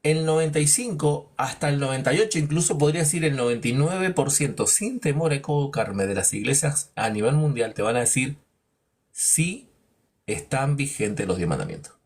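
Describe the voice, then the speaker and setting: Male teacher of scripture, emphatic and rhetorical, even middle-pitched voice. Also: moderate pace, slightly rough. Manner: rhetorical, acts out imagined dialogues; emphatic, drops low on verdicts. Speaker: Bible teacher. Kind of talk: preaching-style Bible lesson.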